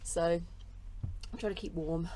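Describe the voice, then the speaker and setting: A woman's voice: fairly low pitch, fairly even intonation, unhurried, warm tone, slight background noise. Nasal-sounding woman chatting casually. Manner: rambling, many fillers and hedges. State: nasal from a cold. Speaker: small art business owner vlogging. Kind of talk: casual vlog chat to camera. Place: home studio.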